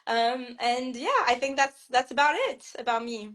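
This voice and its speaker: Young woman, Québécois French accent, chatty author guest, slightly high voice, video-call sound. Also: fairly even intonation, normal speed, a bit bright. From Montreal, Canada. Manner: chatty, many fillers and restarts. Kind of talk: casual video-call interview.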